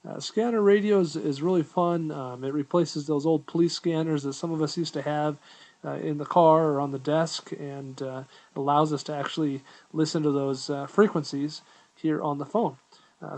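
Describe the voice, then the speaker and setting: Higher-voiced American man giving a casual tutorial. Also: fairly quick, darkish tone. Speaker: tutorial presenter. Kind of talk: casual screen-recorded app walkthrough.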